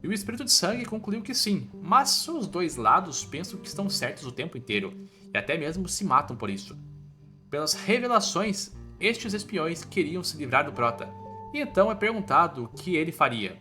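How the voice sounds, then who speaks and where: A man's voice: fairly high pitch, sing-song, normal speed, pushed and bright, soft background music. A man with a Brazilian accent doing scripted story narration in an informal, playful way with slangy wording. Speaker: recap narrator.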